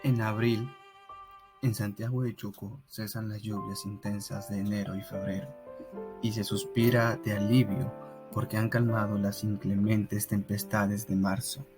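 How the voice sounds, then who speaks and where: Slow, even-voiced man over guitar music. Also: lowish voice. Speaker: narrator in a student radio drama. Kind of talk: scripted literary narration.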